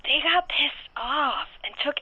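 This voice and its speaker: Brash, slow-talking caller, tinny phone. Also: female voice, sits high, expressive, sharp tone, exaggerated voice. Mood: fired-up support. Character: brash, feisty working-class woman calling radio show. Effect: telephone filter. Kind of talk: radio phone-in in an audio drama. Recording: thin, band-limited phone line.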